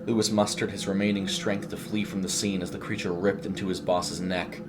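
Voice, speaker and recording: A man's voice: deep voice, level tone, fairly quick, over loud music. A man reading a scripted horror short story as its narrator.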